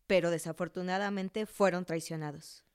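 A woman's voice: on the low side, steady tone, moderate pace, smooth, close to an everyday voice. An actress playing a knowledgeable, patient woman who explains history in a conversational, didactic radio drama dialogue. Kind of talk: scripted dialogue narration.

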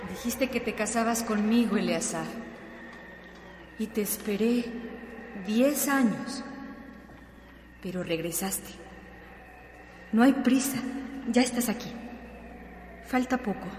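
A woman's voice: mid-range voice, slow pace, long pauses, a little mellow, natural voice. An actress playing a yearning, insistent woman who has long waited for her lover, in a Mexican radio drama. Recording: echoey hall reverb, faint noise.

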